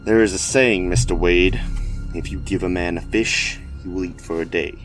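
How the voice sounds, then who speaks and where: A man's voice: sits low, fairly even intonation, moderate pace, fairly bright tone, soft background music. A man playing a weird, smugly menacing city mayor in an audio drama, speaking in a grandiose, speechifying way. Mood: cold, preachy.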